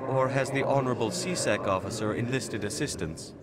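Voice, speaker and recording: A man's voice: medium pitch, normal speed, very noisy, close to an everyday voice. Man voicing a devout, stilted alien jellyfish preacher. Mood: devout, insistent. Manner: stilted, formal, reverent. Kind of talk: video game character acting. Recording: loud game soundtrack behind.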